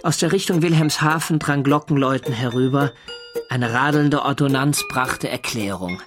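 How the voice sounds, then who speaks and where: An actor playing a navy sailor who recounts his wartime diary in measured, dryly observant literary narration for a radio drama. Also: medium pitch, music in the background, natural voice. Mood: measured, wistful.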